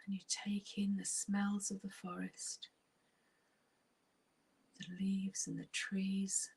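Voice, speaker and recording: Very breathy, slow-speaking woman guiding meditation. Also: mid-range voice, monotone. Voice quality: breathy, husky, often near a whisper. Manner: soft, soothing, unhurried, with long pauses. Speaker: guided meditation narrator. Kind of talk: guided relaxation meditation.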